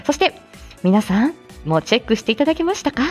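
Bubbly lady chatting on her morning stream, super animated pitch, doing polite announcements, soft music behind. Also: quick pace. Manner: bubbly, giggly, switching between cute greetings and polite announcer phrases. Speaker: host of a live morning audio show. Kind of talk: casual live chat with announcements.

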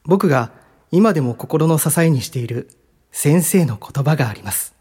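A man's voice: on the high side, expressive, moderate pace, a little mellow, breathy edge. An actor playing an earnest, polite young man in a radio drama, speaking sincerely and respectfully. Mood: sincere, grateful. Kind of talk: Japanese radio drama acting.

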